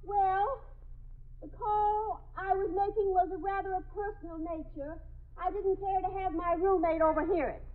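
A woman's voice: extremely high pitch, steady tone, moderate pace. An actress playing an anxious, insistent woman who is locked in, calling out and explaining herself in 1950s radio drama style. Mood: earnest, polite. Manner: calling out loudly, chattering explanations. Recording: muffled phone-like 1950s radio recording.

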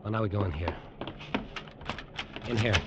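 An actor playing a cocky, scheming American detective in a tough, clipped, sardonic 1950s radio noir style. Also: male voice, fairly low pitch, moderate pace, dull audio, natural voice. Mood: curt, commanding. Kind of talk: 1950s radio noir acting, first-person narration and dialogue. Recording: noisy old radio drama recording.

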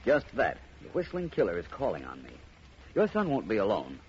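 A man's voice: on the low side, huge pitch swings, brisk, a little mellow, slightly rough, exaggerated voice. A man playing a self-assured, wry private detective in a 1950s radio drama. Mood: wry, commanding. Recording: muffled, noisy old radio recording.

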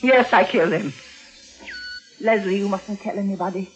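A woman's voice: medium pitch, moderate pace, close to an everyday voice. A woman playing a frightened, guilt-ridden older aunt in a 1940s radio melodrama, agitated and pleading. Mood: confessing, pleading. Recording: dull vintage radio recording, music.

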